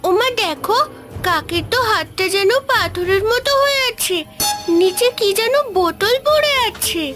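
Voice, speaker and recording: A woman's voice: very high-pitched, expressive, unhurried. A woman voicing a caring little village girl in a cartoon fairy tale. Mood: eager, caring. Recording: music bed under the voice.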